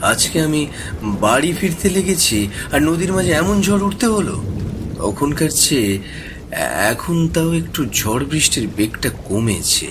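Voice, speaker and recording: A man's voice: on the high side, expressive, unhurried. An actor playing a timid, hungry young man stranded at night in a horror audio drama, speaking hesitantly. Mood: weary, uneasy. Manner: hesitant, stammering. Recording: storm rain and thunder noise.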